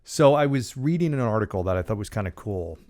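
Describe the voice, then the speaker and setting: Male host in his forties, slightly low voice, relaxed and joking, close studio condenser mic in a dry treated room. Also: fairly quick. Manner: casual, joking, animated with hand gestures. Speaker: podcast host. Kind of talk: solo leadership talk. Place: small studio with dark acoustic panels. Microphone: large studio condenser mic in front.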